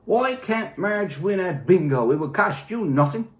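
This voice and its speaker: A man's voice: sits high, lively intonation, a little slow. A man reading a story as an earnest, indignant man who pleads and argues. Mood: pleading. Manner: earnest, argumentative. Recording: roomy echo.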